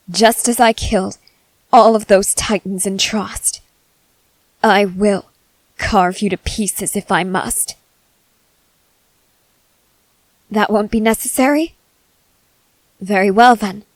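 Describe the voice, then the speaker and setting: A woman playing a stern, suspicious young female soldier in a dramatic ASMR roleplay, speaking in terse, deliberate phrases with pauses. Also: medium pitch, no echo, natural voice, low-quality recording. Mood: threatening. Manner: slow, deliberate, terse, intense delivery with pauses.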